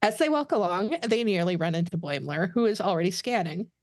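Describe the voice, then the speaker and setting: A woman's voice: fairly low pitch, expressive, brisk, no room sound, studio quality. A woman narrator reading scripted stage directions for a podcast table read.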